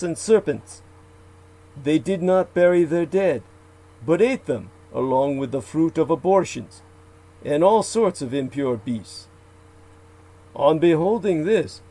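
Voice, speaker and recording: A man reciting old legends aloud, with slow, deliberate delivery, archaic phrasing and occasional asides where he corrects himself. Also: on the high side, warm tone, low-quality recording. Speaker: radio show guest.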